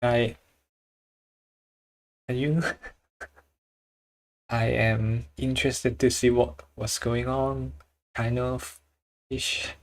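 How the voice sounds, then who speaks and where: A man's voice: mid-range voice, level tone, very slow, pauses often, poor audio. A man with a Chinese accent, chatting casually with viewers while he draws on a livestream, often searching for words and chuckling. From China. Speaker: drawing livestreamer.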